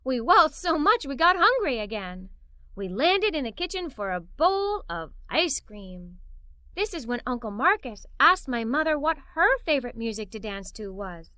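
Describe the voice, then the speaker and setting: A woman reading a children's story aloud in an animated, playful, emphatic way, as a young girl telling it. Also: high voice, no room sound, studio quality. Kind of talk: expressive read-aloud storytelling in a young girl's first person.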